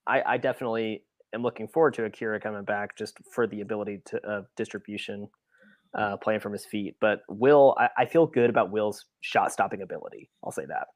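Lowish guy voice, dry sound no room, chatting sports, kinda careful with his takes. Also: fairly quick, dry recording. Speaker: sports podcast panelist. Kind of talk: casual sports chat.

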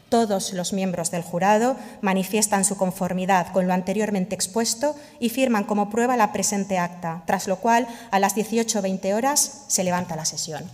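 A woman's voice: mid-range voice, darkish tone. A woman gives a formal, ceremonial reading of an official document. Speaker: official reading the award jury's minutes. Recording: long echoing reverb of large hall.

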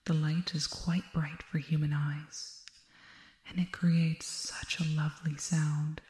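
A woman voicing a serene, otherworldly alien hypnotist in an ASMR roleplay, speaking in a soft, breathy whisper with deliberate, soothing phrasing. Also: low-pitched, reverberant. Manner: hushed, slow, deliberate, repeating soothing words.

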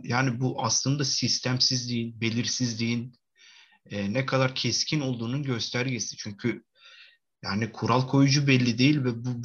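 A man with a husky, medium-pitched voice co-hosts a casual football discussion. He gives firm opinions and moves the conversation along. The recording sounds a bit dull and lo-fi. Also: a bit bright. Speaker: football podcast co-host.

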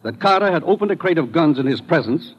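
An actor playing a businesslike commissioner, brisk and authoritative, in 1950s radio drama style. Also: male voice, on the high side, animated, husky. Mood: serious, commanding. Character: brisk, businesslike male commissioner briefing his agent. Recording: dull old radio recording.

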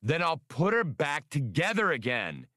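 A male narrator reads a brash, stubborn space pilot in an audiobook. He is blunt and argumentative, with animated pitch and a dry, close sound. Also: moderate pace, no echo. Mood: defiant.

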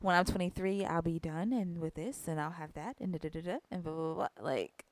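A woman's voice: lowish voice, fairly quick, dry recording. A woman in her twenties, co-hosting a podcast in a casual, rambling way full of "like" and "you know". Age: 20s. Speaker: podcast co-host. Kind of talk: casual chat.

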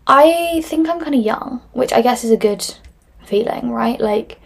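Chatty young woman with gravelly fry. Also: a little high, expressive, a little slow. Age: 20s. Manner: rambling, many fillers and restarts, laughs often. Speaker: podcast host. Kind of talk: casual solo chat answering listener questions.

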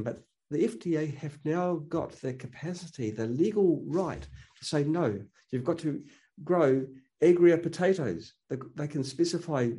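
A man's voice: fairly high pitch, dark tone. A man speaking as an interview guest in a casual conversation, earnest and opinionated, often restarting his sentences.

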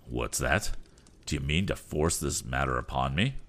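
Man as a curt, stern old inventor, deep and cold. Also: expressive, normal speed, a bit bright, full character voice.

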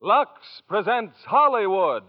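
A man's voice: high voice, big pitch swings, slow pace, dull audio, very noisy. A man announcing a 1940s radio show over music, on an old broadcast recording. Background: loud music.

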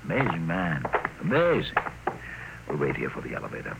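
An actor playing a fussy, admiring aide to a tycoon, emphatic and given to repeating himself, in old-time radio drama style. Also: male voice, rumbling low, huge pitch swings, fairly quick, creaky, exaggerated voice. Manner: emphatic, repeats words for effect. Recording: noisy vintage radio broadcast.

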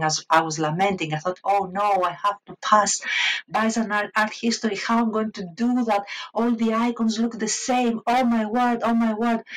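A woman's voice: mid-range voice, poor audio. A woman in her fifties from Crete with a Greek accent, talking as an interview guest with many hesitant fillers. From Greece, Crete. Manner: warm, hesitant fillers, laughs often. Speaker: guest, art historian. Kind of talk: interview conversation.

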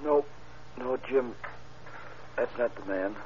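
A man's voice: mid-range voice, slow pace, lightly acted. An actor playing a wounded gunshot victim, a man speaking weakly and with effort, in a vintage radio drama. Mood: weak, weary. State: weak, labored. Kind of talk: 1940s-50s radio crime drama acting. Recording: muffled, noisy vintage radio recording.

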